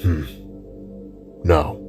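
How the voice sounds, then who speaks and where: A man voicing a big, bulky, quiet male robot in an audio drama, terse, gruff and dry. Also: drawn-out words, faint music behind. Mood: cold, commanding. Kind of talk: self-narrated audio drama.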